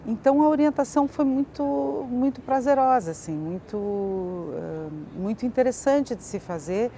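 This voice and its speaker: A woman, a theatre supervisor reflecting in an interview in a hesitant, measured way. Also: on the high side, creaky, mellow. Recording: faint outdoor city noise.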